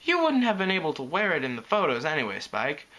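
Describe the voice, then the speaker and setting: Guy doing a teasing young lady pony, kinda high, dry n sarcastic, coaxing. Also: huge pitch swings, fast, pushed and bright, full character voice, rough recording.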